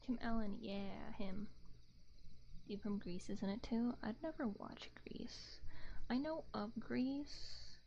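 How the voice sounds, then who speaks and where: A woman livestream host chatting casually in a gentle, soft ASMR voice that often slips toward a whisper, close on a binaural microphone. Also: on the high side, slow, creaky, dull audio, a little hiss or hum. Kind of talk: soft-spoken ASMR chat with viewers.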